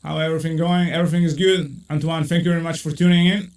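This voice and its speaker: A man with an Italian accent hosting a casual live chat over a video call, talking in lively bursts with many fillers. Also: fast. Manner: animated, many fillers, pitch jumps when excited. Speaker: host.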